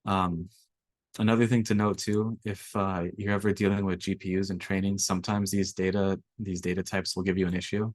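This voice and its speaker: A young man, slightly raspy from allergies, walking through a coding workshop in a relaxed way with lots of ums. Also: low-pitched, brisk, no room sound. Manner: relaxed, filler-heavy explaining. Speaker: workshop instructor. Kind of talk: screen-share coding tutorial.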